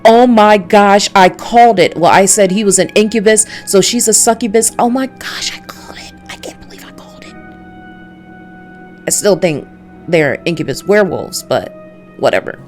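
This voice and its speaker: A woman's voice: medium pitch, animated, normal speed, darkish tone. A woman streamer giving casual commentary as she plays through a visual novel. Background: faint music.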